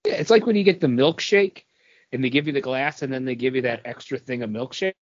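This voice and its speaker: A man talking informally as a co-host in a relaxed podcast conversation. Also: mid-range voice, fast. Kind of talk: casual chat. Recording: slightly dull but dry recording.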